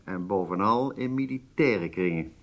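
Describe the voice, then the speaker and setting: Clever spy planner in a radio play, low voice, chatty, sure of himself, bit wry. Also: male voice, expressive, moderate pace. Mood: wry, teasing. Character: shrewd, informal male intelligence planner. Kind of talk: Dutch radio drama acting.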